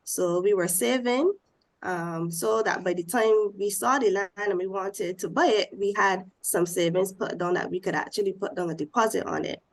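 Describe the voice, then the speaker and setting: A woman's voice: medium pitch, normal speed. Woman with a cold, nasal voice. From Barbados. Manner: steady, step-by-step explaining. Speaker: panelist. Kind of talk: panel discussion.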